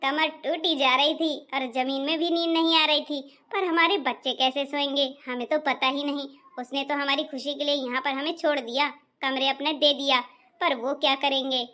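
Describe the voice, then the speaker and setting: A woman's voice: sits high, fairly even intonation, normal speed, sharp tone. A woman voicing a kind, agreeable elderly mother-in-law in a cartoon, speaking in a homely way. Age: older. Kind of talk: cartoon voice acting.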